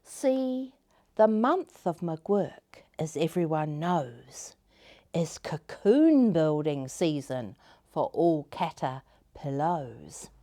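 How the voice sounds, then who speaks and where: A woman storyteller hosting a story time, reading to children in a gentle, expressive way with deliberate phrasing. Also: sits low. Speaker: storyteller, host.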